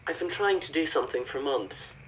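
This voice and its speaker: A woman's voice: low voice, animated, brisk, slight background noise, poor audio. A woman playing a weary, withdrawn boyfriend in a radio play, hesitant and stammering in naturalistic dialogue. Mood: resigned. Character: William, weary, withdrawn boyfriend fed up with his relationship.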